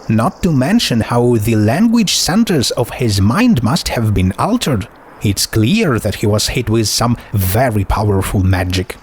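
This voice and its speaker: A man's voice: mid-range voice, a little mellow, a little hiss or hum. A man voicing a bookish, inquisitive teenage girl in a storybook, speaking in an articulate, analytical way.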